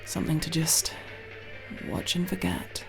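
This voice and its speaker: A young woman playing a guarded but tender tomboy friend in an ASMR roleplay, speaking intimately and haltingly, with sincere, teasing, casually sweary delivery. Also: low-pitched, very animated, over music, heavily acted. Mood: wry, casual. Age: young adult. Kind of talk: ASMR roleplay, intimate one-sided dialogue.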